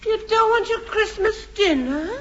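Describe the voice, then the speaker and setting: A woman's voice: extremely high pitch, lively intonation, unhurried, heavily acted. An actress playing a fussy, motherly, easily amused woman in a 1950s radio sitcom, gushing and coaxing. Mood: concerned. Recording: muffled noisy 1950s radio, loud audience.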